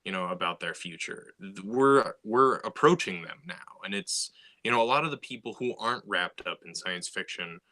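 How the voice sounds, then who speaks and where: Young male writer, bright tone, casual rambling talk full of fillers. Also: on the low side, expressive, moderate pace. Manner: rambling, many fillers and restarts. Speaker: sci-fi writer. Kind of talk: casual conversation.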